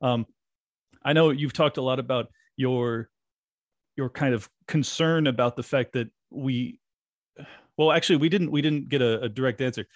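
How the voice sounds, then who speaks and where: A man's voice: medium pitch, normal speed, long pauses, dry recording, clean studio sound. A man hosting a podcast interview, talking casually with restarts and fillers. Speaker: podcast host. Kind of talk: conversational interview.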